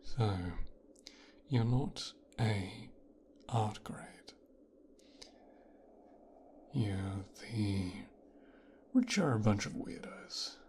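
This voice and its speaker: A man playing a possessive, coldly calculating young mafia boss's son in a hushed, breathy ASMR roleplay, speaking slowly and deliberately. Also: lowish voice, slight background noise. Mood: coolly appraising. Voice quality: hushed, breathy, half-whispered. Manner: slow, deliberate, musing with pauses.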